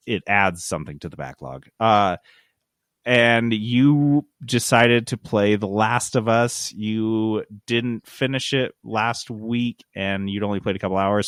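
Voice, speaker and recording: A man's voice: fairly low pitch, fairly bright tone, no echo, studio quality. A man hosting a podcast in a casual, conversational way. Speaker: podcast host. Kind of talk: casual chat.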